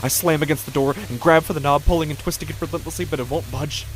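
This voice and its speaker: A man giving a tense, hushed first-person reading of a horror story. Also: on the high side, fairly even intonation, fast talker, a little hiss or hum, poor audio. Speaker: narrator.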